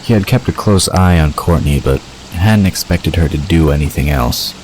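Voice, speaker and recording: A man's voice: rumbling low, moderate pace, darkish tone, slight background noise, rough recording. A man reading scripted story narration as the narrator of an audio drama.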